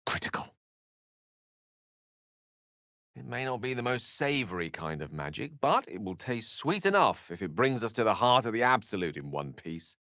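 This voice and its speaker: An actor playing an eloquent, wry wizard in a video game, speaking in articulate, theatrical phrases with an earnest streak. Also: male voice, on the low side, very animated, a little fast, few pauses, pushed and bright, dry recording, studio quality, exaggerated voice. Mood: urgent, hushed. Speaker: video game companion character. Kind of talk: scripted video game voice acting.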